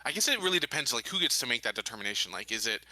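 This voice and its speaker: A man's voice: medium pitch, very animated, very fast, pushed and bright, no room sound, poor audio. A man taking part in a tabletop roleplaying game, talking it through casually as a player.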